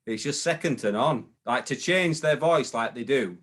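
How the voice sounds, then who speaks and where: A man speaking as a guest in a relaxed chat. He hesitates often, stacking ums and restarting phrases, at a middling pitch. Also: normal speed. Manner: hesitant, lots of ums and repeated starts. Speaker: guest, booking agent. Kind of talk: casual interview chat.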